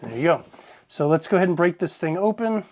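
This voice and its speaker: A man's voice: a little high, expressive, brisk, a little mellow, slight background noise. A man giving a casual, plain-spoken product review, explaining things informally as he goes.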